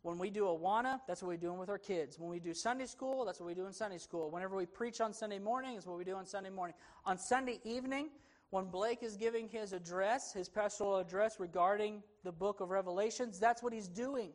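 A man's voice: high-pitched, fairly quick. A man preaching an expository sermon in a church, earnest and emphatic, his delivery rising and falling for emphasis. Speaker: pastor.

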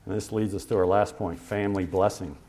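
Male pastor, lower voice, conversational sermon delivery with fillers, lands each scripture reference slowly. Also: steady tone, warm tone. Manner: slows to stress scripture references.

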